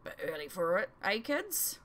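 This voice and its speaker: A woman's voice: mid-range voice, sing-song, normal speed, fairly bright tone. A woman voicing a wry, kindly male tavern keeper with a casual, teasing manner.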